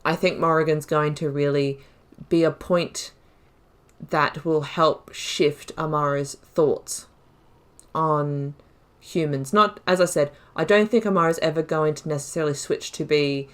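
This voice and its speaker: A woman with a low-pitched voice narrates her own game playthrough. She is casual and chatty, musing out loud as she works through her thoughts. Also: fairly even intonation, unhurried, clean tone. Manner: chatty, musing, thinking aloud. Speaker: gaming YouTuber. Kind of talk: casual let's play commentary.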